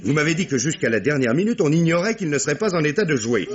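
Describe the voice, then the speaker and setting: An actor playing a calm, probing police commissioner who questions witnesses with measured authority in an old radio play. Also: male voice, on the high side, crowd noise. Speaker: police inspector. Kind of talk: 1950s radio drama acting. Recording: dull old radio broadcast with faint hiss.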